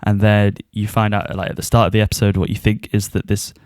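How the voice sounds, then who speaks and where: A man's voice: fairly low pitch, monotone, fast, darkish tone, dry recording, studio quality. A man co-hosting a podcast, giving a casual review and often chuckling as he talks. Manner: chuckles often while talking.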